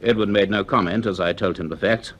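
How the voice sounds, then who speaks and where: An actor playing a grave university professor in a radio drama, speaking in a deliberate, earnest, emphatic way with thoughtful pauses. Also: male voice, on the low side, fairly bright tone. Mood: sombre narrating. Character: grave male university professor recounting a mystery. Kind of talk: radio drama acting, part notes, part dialogue.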